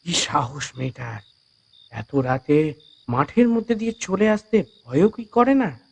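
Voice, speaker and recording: A man's voice: on the high side, unhurried, vocal fry, husky, exaggerated voice. A man playing a humble, earnest village physician in a horror audio story, speaking with respectful, deferential pleading. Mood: amazed.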